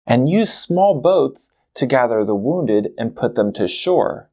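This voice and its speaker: A man narrating a documentary history episode at an unhurried pace, his pitch rising and falling expressively. His voice is mellow and dark, and he talks to the viewer directly, in a conversational way.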